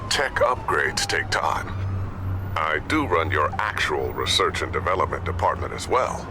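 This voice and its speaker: Brief: matter-of-fact gadget expert, deep, animated delivery. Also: male voice, a little slow, exaggerated voice. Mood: explaining. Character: calm male tech chief briefing on gadgets. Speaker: head of research and development. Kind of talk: video game voice acting. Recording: game audio with background noise.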